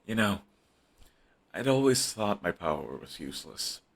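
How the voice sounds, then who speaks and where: A man's voice: fairly low pitch, big pitch swings, measured pace, creaky, heavily acted. A man voicing a brooding villain who is defensive and lovestruck, talking close and intimately to the listener. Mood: hushed, tender. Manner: intimate, shifts between hushed and heated. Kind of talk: audio roleplay monologue.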